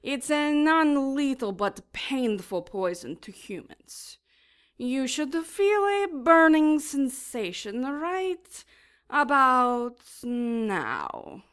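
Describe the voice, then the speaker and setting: An actress playing a taunting, smugly sadistic female demon in an audio drama, making mock-polite threats with deliberate menace. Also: high voice, very animated, a bit bright, clear, resonant tone, heavily acted.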